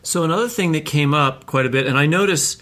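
A man's voice: a little high, fast talker, fairly bright tone. A man co-hosting a literary podcast, asking rambling, hesitant questions full of fillers. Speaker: podcast co-host. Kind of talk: casual interview questions.

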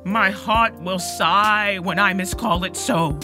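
An actor playing a banished young nobleman, slow and heavy-hearted in Shakespearean verse. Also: high-pitched, steady tone, music in the background. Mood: sorrowful. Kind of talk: Shakespeare stage reading for audio drama.

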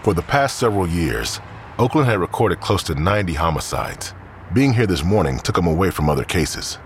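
Very deep-voiced male narrator. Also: big pitch swings, slight background noise. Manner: grave, measured storytelling. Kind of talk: scripted narration.

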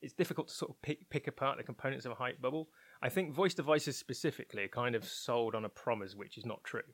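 A man's voice: mid-range voice, quick pace, no echo. A man talking as an interview guest, explaining his opinions informally and candidly, thinking aloud as he goes. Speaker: interview guest, technology researcher at a broadcaster.

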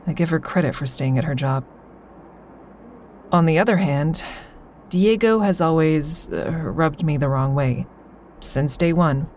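A woman's voice: low voice, measured pace, long pauses, darkish tone, a little hiss or hum. A woman playing a sardonic, anxious insomniac, confiding dryly as she narrates a first-person audio drama. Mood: wry, observant. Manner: wry, confiding, dry.

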